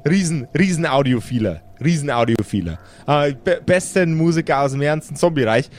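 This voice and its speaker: A man's voice: fairly high pitch, lively intonation, a little fast, darkish tone, pitched below the performer's own voice, close to an everyday voice. A man voicing a boastful, chummy undead necromancer in a fantasy tabletop audio game, slangy, stammering and repeating himself. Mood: excited bragging. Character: boastful, chummy undead necromancer boss bragging about gadgets.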